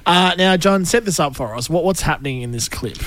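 A man's voice: fairly high pitch, expressive, a little fast, a bit bright. A man with an Australian accent, hosting a casual breakfast radio interview. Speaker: radio breakfast show host. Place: radio studio.

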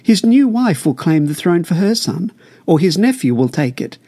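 A man's voice: high-pitched, sing-song, normal speed, warm tone, voice pushed up, exaggerated voice. A man reading an audiobook, voicing a desperate mother who urgently pleads to save her son.

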